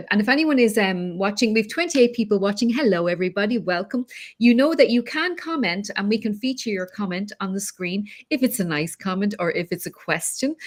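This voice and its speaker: A woman hosting a live-streamed video call interview, chatty and complimentary, greeting viewers with lots of filler words. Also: mid-range voice. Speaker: interviewer, host.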